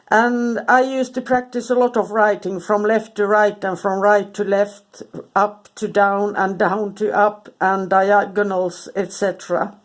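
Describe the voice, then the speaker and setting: A woman with a cold, sniffling, giving a casual, halting talk full of ums and restarts. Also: mid-range voice. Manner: hesitant, many ums and restarts. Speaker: spiritual content creator. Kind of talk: casual informal talk to camera.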